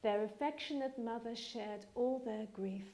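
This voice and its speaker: A woman giving a live dramatic reading of literary narration, measured and expressive. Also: fairly high pitch. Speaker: actress reading a novel aloud.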